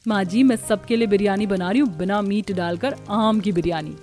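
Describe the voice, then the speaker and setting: A woman's voice: medium pitch, normal speed, darkish tone, faint music behind, close to an everyday voice. A woman voicing an earnest, dutiful young daughter-in-law of a poor family in a cartoon story. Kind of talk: Hindi cartoon story voice acting.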